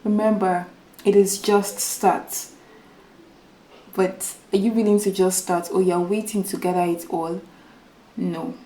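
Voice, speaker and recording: Woman, soft small voice, mellow dark tone, earnest motivational vlog talk, echoey room. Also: medium pitch, level tone, a little slow, long pauses. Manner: earnest and repetitive, addresses viewers as guys. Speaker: YouTuber. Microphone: new microphone without full setup.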